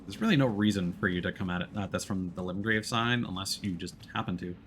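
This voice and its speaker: A man's voice: deep voice, fast. A man giving casual, explanatory live commentary as he streams a video game, in a conversational way. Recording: loud game noise behind voice. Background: video game sound.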